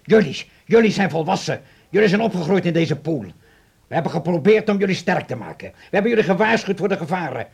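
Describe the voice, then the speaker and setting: A man's voice: high voice, expressive, fast, vocal fry, darkish tone, husky, heavily acted. An actor playing a stern, middle-aged German father who scolds indignantly in a radio play. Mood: furious scolding. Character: stern, agitated German father and anxious host.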